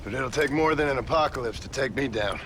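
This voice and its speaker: An actor playing a rugged, sardonic American cowboy gunslinger in a video game, dryly sarcastic and weary but kind. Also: male voice, medium pitch, quick pace, a little hiss or hum, natural voice. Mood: wry, concerned. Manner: wry, dry sarcasm. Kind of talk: video game cutscene acting. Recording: loud music behind the voice.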